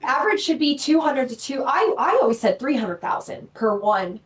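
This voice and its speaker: A woman in her forties talking as a podcast guest in a casual live chat, blunt, brash and quick to mock herself. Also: high-pitched, very animated. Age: 40s. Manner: blunt, brash and self-mocking.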